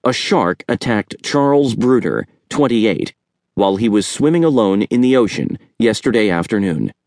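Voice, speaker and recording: Man narrating a children's audiobook, lively range. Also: fairly low pitch, moderate pace, dry recording, clean studio sound. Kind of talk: scripted narration of a children's story.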